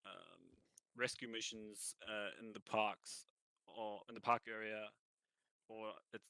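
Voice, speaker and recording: A man with a cold answering interview questions in a roleplay, halting and thinking aloud as he goes. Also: on the low side, fairly even intonation, creaky, sharp tone, smooth, dry recording. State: has a cold. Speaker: ranger job applicant.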